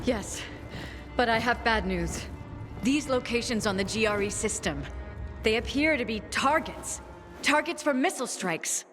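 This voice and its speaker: A woman's voice: on the high side, unhurried, natural voice. An actress playing an anxious, determined woman researcher in a video game cutscene, speaking in urgent, clipped phrases. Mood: urgent, grave. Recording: loud music behind.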